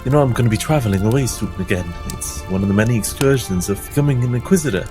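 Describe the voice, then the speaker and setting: Man acting as dutiful young son, caring, low-pitched, fantasy tabletop game, background music. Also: fast talker, natural voice. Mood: caring, gently teasing.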